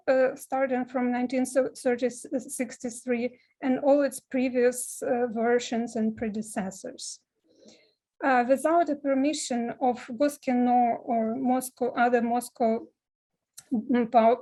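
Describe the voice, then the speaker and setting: A woman's voice: high-pitched, warm tone, rough recording. Hesitant woman giving a seminar talk. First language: Ukrainian. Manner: halting, many filler sounds and restarts. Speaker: seminar speaker, film scholar.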